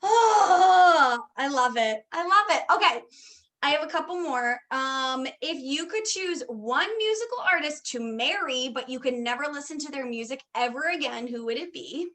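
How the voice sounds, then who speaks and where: A woman chatting casually and asking questions, giggly and expressive. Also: high voice, moderate pace. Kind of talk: casual chat.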